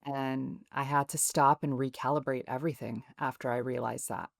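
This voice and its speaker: Calm, low-voiced woman author. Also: gravelly, no echo, studio quality. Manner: calm, soothing, measured. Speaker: podcast guest, author. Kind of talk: reflective interview talk.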